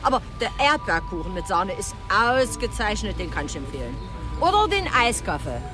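An actress playing a chatty, obliging café waitress in a radio drama, breezy and formally polite. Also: female voice, medium pitch, very animated, moderate pace, music in the background, natural voice. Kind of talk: naturalistic radio drama dialogue.

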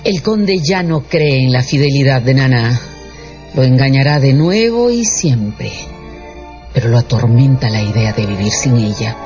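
A man's voice: medium pitch, lively intonation, unhurried, darkish tone. A man narrating a radio dramatization in a scripted storytelling style. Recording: slightly dull sound with music underneath.

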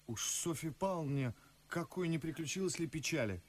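An actor playing a forthright, restless, ironic young gentleman suitor in a verse radio play. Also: on the high side, expressive, moderate pace. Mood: probing, ironic. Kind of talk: theatrical audio drama in verse.

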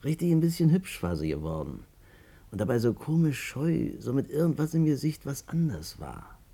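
An actor playing a chatty, plain-spoken, good-hearted barber in a colloquial, familiar manner in a 1950s German radio play. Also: male voice, mid-range voice, sing-song, moderate pace, gravelly, dark tone, husky, full character voice. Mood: confiding.

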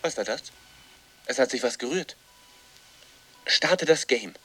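A man's voice: mid-range voice, expressive, a little slow, pushed and bright, breathy edge, full character voice. An actor playing a desperate man trapped inside a computer game, pleading urgently in a radio drama. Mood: fading, tense.

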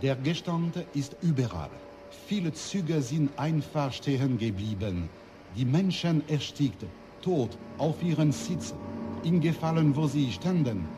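Higher-voiced horrified eyewitness. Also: male voice, lively intonation, unhurried. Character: horrified male eyewitness among the dead underground. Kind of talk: 1980s radio drama acting. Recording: noisy rumbling background.

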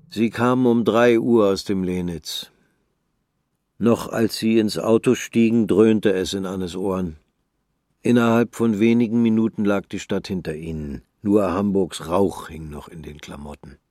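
A man narrating a literary radio drama in a calm, measured, subdued reading. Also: low-pitched, dry recording, clean studio sound.

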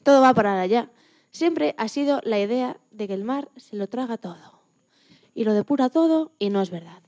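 A woman with a fairly high-pitched voice speaks as a guest in an interview. She gives explanatory answers to the questions put to her. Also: animated, moderate pace, a little mellow.

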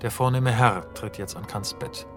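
A man reading stage directions as the narrator of a radio play, in a measured, matter-of-fact way. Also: fairly low pitch, fairly even intonation, over music.

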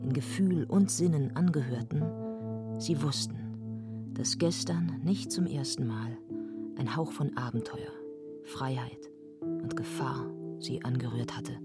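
A man narrating a literary radio play in a measured storytelling manner. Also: mid-range voice. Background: loud instrumental music.

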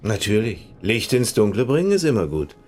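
A man playing a menacing gangster boss in a German radio drama, falsely tender and quietly threatening. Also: on the low side, big pitch swings, brisk, a little hiss or hum.

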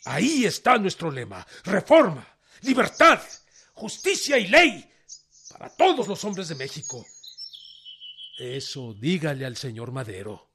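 Radio drama casting: defiant peasant general, Mexican accent, rough husky tone, very animated oratory. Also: male voice, fairly high pitch, measured pace, full character voice. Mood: impassioned, indignant. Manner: oratorical, emphatic declamation. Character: proud, defiant male revolutionary peasant general.

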